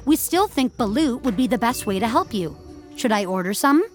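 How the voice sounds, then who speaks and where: A woman's voice: on the high side, lively intonation, moderate pace, soft background music, natural voice. A woman voicing a polite, helpful but pushy health app assistant in scripted audio fiction. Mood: politely insistent.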